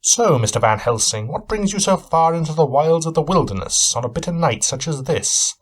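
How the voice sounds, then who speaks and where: A man's voice: fairly high pitch, expressive, moderate pace, husky, pitched above the performer's own voice. A man voicing a thin, keen-eyed older male detective who speaks in a formal, courteous, deducing way. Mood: genial.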